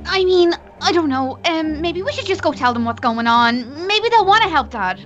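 A woman playing an excitable, sassy young princess who likes to tease, in a children's game roleplay. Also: high-pitched, moderate pace, dull audio, soft background music. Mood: urgent.

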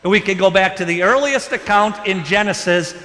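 A middle-aged man preaching a sermon from the pulpit, animated and conversational. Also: high voice, normal speed. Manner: animated preaching with wide gestures, moving about behind the pulpit. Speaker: pastor. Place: large church sanctuary. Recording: long church reverb.

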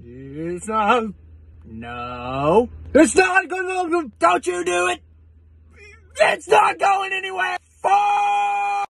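A man's voice: very high-pitched, big pitch swings, very slow, vocal fry, fairly bright tone, shouting. A young man in a truck cab, filmed by a dashboard phone, arguing with himself in a comic skit. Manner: arguing with himself, scolding and resisting. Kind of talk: comedy skit. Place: inside a pickup truck cab.

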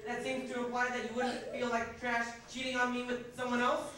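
A young American man acting a literal-minded, put-out husband in a high school stage comedy. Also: completely flat delivery, brisk. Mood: offended. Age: young adult. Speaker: amateur stage actor. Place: indoor school theatre stage. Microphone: far camera microphone. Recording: distant, echoey, noisy auditorium videotape.

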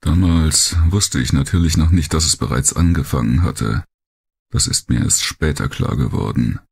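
A man voicing a blunt, cynical, world-weary male survivor of war in an audiobook, gravelly and coarse in his talk. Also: rumbling low, moderate pace, a little mellow, pitched above the performer's own voice, full character voice. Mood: bitter, wry. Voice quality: gravelly, husky. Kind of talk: audiobook character monologue.

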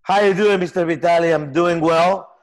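A man in his forties from Peru, speaking English with a Peruvian Spanish accent, earnest and halting in a casual video-call interview. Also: fairly high pitch, fairly even intonation. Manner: earnest, gestures a lot, halting non-native phrasing. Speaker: software company founder. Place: home room with lamp and armchair.

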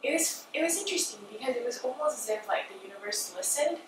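A woman's voice: on the high side, lively intonation, fast. A woman from Malaysia in her thirties, chatting casually as an interview guest on a sofa with lots of "like" and "you know". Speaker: guest, skincare founder. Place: living room, seated on a sofa. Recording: echoey room from a distance, noticeable room noise.

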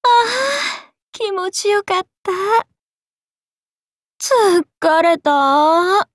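A woman's voice: extremely high pitch, expressive, drawn-out words, no room sound, studio quality, exaggerated voice. A woman voicing a playful, teasing, carefree oni goddess in an anime game, with a casual, airy drawl. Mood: languid, amused. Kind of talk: Japanese anime game voice acting.

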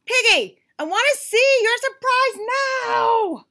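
A woman voicing an impatient, melodramatic young male elephant in a storybook, whining and wailing with drawn-out words. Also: very high voice, expressive, bright, forceful tone, voice pushed up, exaggerated voice. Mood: demanding. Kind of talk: storybook read-aloud character.

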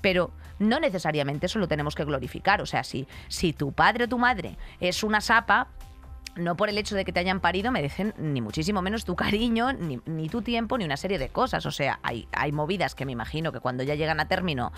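A woman's voice: on the low side, lively intonation, fairly quick, a bit bright, soft background music. A woman in her thirties with a Spain accent, co-hosting a studio podcast with rambling, colloquial, blunt commentary. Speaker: podcast co-host. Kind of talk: conversational opinionated commentary. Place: indoor radio-style podcast studio. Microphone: studio microphone on desk stand.

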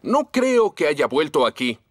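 A dutiful cartoon fireman with a Latin American Spanish accent. His voice sits slightly high for a man and moves with lively melody, helpful and reassuring throughout. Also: unhurried, no echo, clean studio sound. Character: friendly, dutiful adult fireman. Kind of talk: children's cartoon dubbing.

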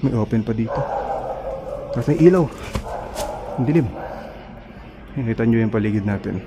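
A man in his thirties hosting a walking vlog through an abandoned building, talking chattily to a handheld camera and dropping to a hush in dark rooms. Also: medium pitch, moderate pace, muffled recording, background noise. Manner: chatty, excitable, trails off into hushed remarks in dark rooms. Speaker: vlog host exploring abandoned places. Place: abandoned concrete building, indoors and outdoors. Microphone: handheld action camera at arm's length.

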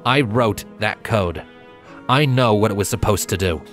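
A man's voice: lowish voice, a little slow, fairly bright tone, faint music behind. A man voicing a confident, eager male scientist explaining his theory, emphatic and undeterred, in a story reading. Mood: firm conviction.

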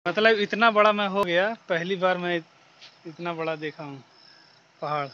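A young man in his twenties from northern India, chatting excitedly in a casual vlog from a village rooftop, recorded on a phone at arm's length. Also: high-pitched, moderate pace, highs cut off. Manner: excited, chatty, laughing easily. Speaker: vlogger. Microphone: phone held at arm's length.

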